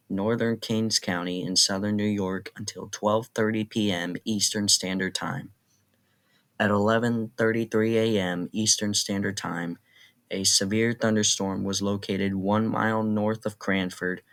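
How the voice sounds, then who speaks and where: A synthetic-sounding man reading an automated weather radio bulletin in an impersonal, mechanical way. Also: lowish voice. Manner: flat, impersonal, synthetic delivery.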